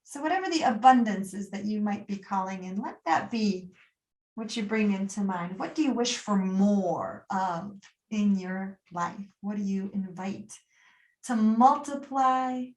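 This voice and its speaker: A woman's voice: mid-range voice, far from the mic. Woman guiding meditation in an echoey room. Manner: soothing, gently instructing, pausing between short phrases. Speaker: yoga nidra teacher. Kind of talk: guided meditation, spoken live and unscripted.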